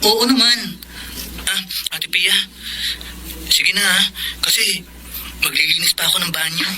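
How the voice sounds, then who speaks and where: A man's voice: high-pitched, measured pace, bright, forceful tone, a little hiss or hum, full character voice, poor audio. A man playing an earnest, obedient, good-hearted young man in a Filipino radio drama, speaking meekly.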